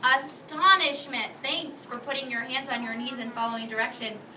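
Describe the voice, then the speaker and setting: A woman's voice: fairly high pitch, fairly even intonation, brisk, pushed and bright. A woman teacher doing an interactive classroom read-aloud, instructive, carefully enunciating vocabulary for young pupils. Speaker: second-grade teacher. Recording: echoey classroom, distant mic, noisy background.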